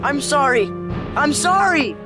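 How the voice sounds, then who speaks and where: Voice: high-pitched, very animated, measured pace. An actor playing a frightened, tormented young boy in an audio drama, with a distressed, pleading delivery. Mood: panicked. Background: loud background music.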